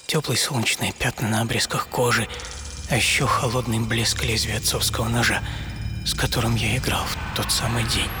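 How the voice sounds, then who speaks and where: A man playing a blind French inventor recalling his childhood in a wry, reflective radio monologue, measured and lyrical. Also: medium pitch, natural voice. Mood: nostalgic, dreamy. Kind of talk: dramatic first-person monologue for radio audio play.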